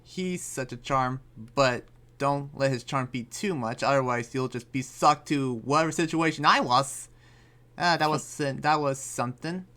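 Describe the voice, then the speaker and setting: A man's voice: medium pitch, measured pace, pushed and bright. A man playing an earnest, cautious young demon slayer in an improvised anime game roleplay, talking in a hesitant, rambling way. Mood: friendly, hesitant. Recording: music playing behind voice.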